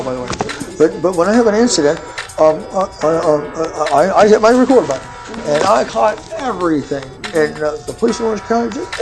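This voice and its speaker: Halting, agitated man over loud music. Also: high voice, low-quality recording. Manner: halting, stammering, repeats words and phrases. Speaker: amateur filmmaker telling his own case. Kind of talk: rambling, impassioned personal account in conversation.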